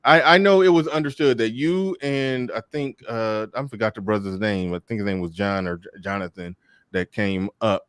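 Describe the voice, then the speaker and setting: Low-voiced stammering show host. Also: male voice, moderate pace, a bit bright, clear, resonant tone, no room sound. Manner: stammering restarts, casual slang. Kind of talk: live call-in debate show.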